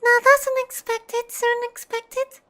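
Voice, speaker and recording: A woman's voice: very high-pitched, level tone, a little slow, fairly bright tone. A woman VTuber reacting casually as she plays a game. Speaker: VTuber streamer.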